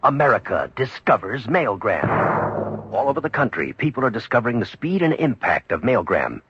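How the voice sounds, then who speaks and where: A man's voice: mid-range voice, sing-song, normal speed. A man announcing a scripted 1970s radio advertisement. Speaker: commercial announcer. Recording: dull radio recording, faint noise.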